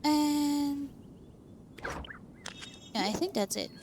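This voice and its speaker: A woman's voice: sits high, very slow, a little mellow, a little hiss or hum. A woman giving casual, informal playthrough commentary as she plays a game, remarking mostly to herself. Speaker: gaming streamer. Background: game music.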